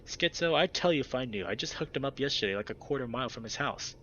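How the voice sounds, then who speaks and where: A man's voice: mid-range voice, expressive, fast talker, fairly bright tone, highs cut off, a little hiss or hum, natural voice. A man voicing a stout young fennec stoner with a laid-back, swearing drawl.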